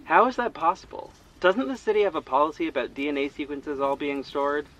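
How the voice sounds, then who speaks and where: An actor playing a friendly young shopkeeper and amateur sleuth who jokes around and gets easily flustered. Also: male voice, a little high, a little fast, low-quality recording. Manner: lively, joking, easily flustered.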